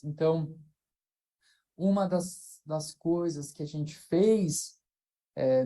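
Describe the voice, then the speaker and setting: A man speaks in a relaxed, mellow voice. He is an invited researcher giving an informal academic talk over a video call. He thinks aloud, padding his sentences with fillers and restarting them. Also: a little high.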